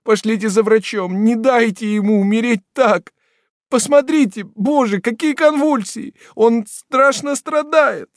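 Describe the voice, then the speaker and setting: Desperate sobbing young woman, played by a male reader: high-pitched, frantic, begging, storybook audiobook. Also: normal speed, gravelly, darkish tone, no room sound, clean studio sound. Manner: shrill, tearful, pleading. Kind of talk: audiobook character voice.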